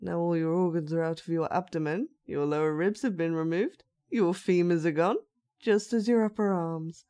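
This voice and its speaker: A woman's voice: on the low side, moderate pace, warm tone, close to an everyday voice. A woman playing an unhinged, sweetly sinister young dollmaker in a horror audio roleplay, with childlike, sing-song menace. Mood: gleeful menace.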